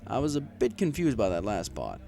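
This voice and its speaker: A man's voice: medium pitch, huge pitch swings, brisk, vocal fry, mellow. A man reading a scripted first-person story in the manner of an audiobook narrator. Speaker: story reader.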